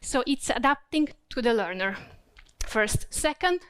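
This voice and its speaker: A woman presents at a business conference. Her voice sits a little high and sounds clear and smooth. It is recorded close and dry, with almost no room sound, and she explains things step by step, pausing to find her words. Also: no echo. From Croatia. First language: Croatian. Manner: steady, explanatory, searching for words. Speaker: corporate learning specialist presenting.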